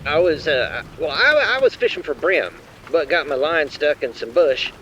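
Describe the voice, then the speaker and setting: Muffled recording, shaken fisherman, highish voice, queasy, stammering, very slow, radio drama witness. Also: very animated, a bit bright, slight background noise. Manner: hesitant, stammering. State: shaken, nauseated. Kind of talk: TV news eyewitness interview acting.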